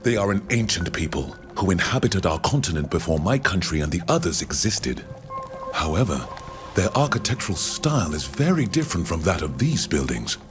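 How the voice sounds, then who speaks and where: Deep-voiced, courteous foreign scholar. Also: male voice, very animated, over music. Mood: scholarly interest. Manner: measured, formal, precise wording. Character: courteous, learned male foreign scholar from the continent. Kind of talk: video game dialogue acting.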